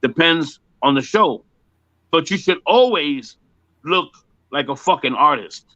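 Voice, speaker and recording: Bright, high-pitched man in his fifties, muffled audio. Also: lively intonation, unhurried, no echo. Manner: joking, repeats and interrupts emphatically. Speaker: music performer being interviewed. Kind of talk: casual one-on-one chat.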